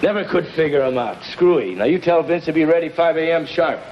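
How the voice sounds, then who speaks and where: A man's voice: fairly high pitch, fairly quick, natural voice. An actor playing a plain-spoken, deferential henchman with slangy, streetwise talk in an old noir crime drama. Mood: gruff, matter-of-fact. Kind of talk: mid-century film noir crime drama acting. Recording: dull, noisy old broadcast recording.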